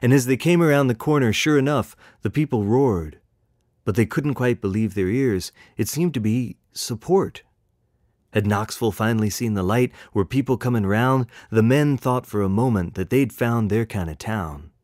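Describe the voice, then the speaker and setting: A man reading a picture book in rhyming verse as its author, with expressive, rhythmic delivery. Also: mid-range voice, normal speed, no room sound, clean studio sound.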